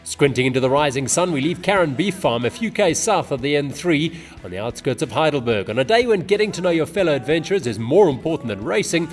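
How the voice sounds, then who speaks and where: A man's voice: on the high side, very animated, fast talker, few pauses, faint music behind. A man giving scripted, upbeat narration of a bike race.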